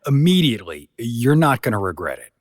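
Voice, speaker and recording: A man's voice: mid-range voice, sing-song, brisk, noisy background. A man hosting a podcast, giving a casual introduction in an enthusiastic, informal, emphatic manner. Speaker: podcast host.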